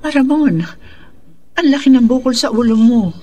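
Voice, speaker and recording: A performer playing a concerned, reluctant faith healer, speaking in a measured and grave way in a radio drama. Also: high voice, warm tone. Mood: worried, reluctant.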